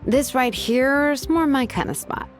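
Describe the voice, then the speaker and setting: A woman's voice: high voice, expressive, unhurried. A woman playing a laid-back, confident young blacksmith in a video game, speaking in an easygoing, casual way. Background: music.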